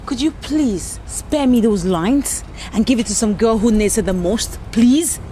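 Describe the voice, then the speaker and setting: An actress playing a studious young woman who is guarded and sharp-tongued, with an expressive way of speaking. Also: fairly high pitch, unhurried, slight background noise, natural voice. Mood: irritated. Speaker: studious student. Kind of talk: film drama acting.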